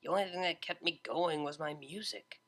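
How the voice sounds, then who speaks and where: A man's voice: on the high side, normal speed, a bit bright. A man voicing a laid-back young female unicorn DJ, talking in a casual, slangy, self-mocking way. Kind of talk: fan audiobook character voice.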